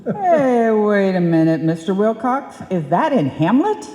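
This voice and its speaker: A woman playing a patient, gently teasing wife in an old-time radio comedy, with an unhurried, wry delivery. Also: mid-range voice, sing-song, slight background noise. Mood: amused, teasing. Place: live performance in a reverberant hall. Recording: echoey hall, live stage reverb.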